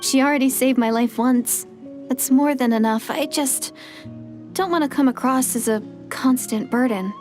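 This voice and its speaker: A high, girlish voice acted by a woman for a jumpy, evasive young girl in an anime-style game. She sounds hesitant and pleading, with soft music underneath. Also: moderate pace, lightly acted. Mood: sheepish.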